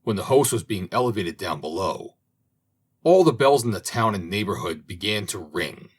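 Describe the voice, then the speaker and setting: A man reading an old fairy tale aloud as an audiobook narrator, in a slow, expressive manner. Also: lowish voice. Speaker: audiobook reader. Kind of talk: storybook narration.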